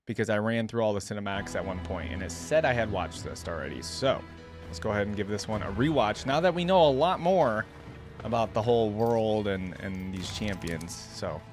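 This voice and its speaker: A man giving casual reaction commentary as the host of a video. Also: fairly low pitch.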